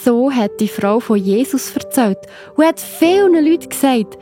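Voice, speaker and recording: A woman's voice: high voice, animated, moderate pace, warm tone, soft background music. A woman with a Swiss German accent narrating a scripted story for children, explaining simply and addressing the listener directly.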